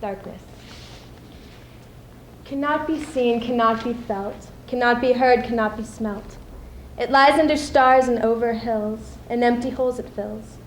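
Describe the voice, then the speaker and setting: A woman's voice: fairly high pitch, measured pace, natural voice. A teenage girl voicing darkness as an eerie, menacing spirit in a theatrical poetry recital. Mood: ominous. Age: teens. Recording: echoey room, faint noise.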